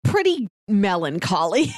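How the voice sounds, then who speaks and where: A woman's voice: mid-range voice, very animated, unhurried, no echo, clean studio sound. A woman co-hosting a podcast, talking in a casual conversational way. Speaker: podcast co-host.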